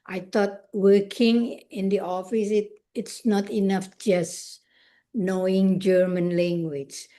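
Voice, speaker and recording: An older woman from Indonesia, whose first language is Indonesian, speaking haltingly and searching for words in an interview. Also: mid-range voice, flat delivery, dark tone. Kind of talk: conversational interview.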